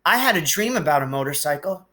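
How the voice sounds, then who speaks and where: Guy reading as a meek little storybook kid, quiet, earnest, bit defensive. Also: a little high, animated, fast. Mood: musing.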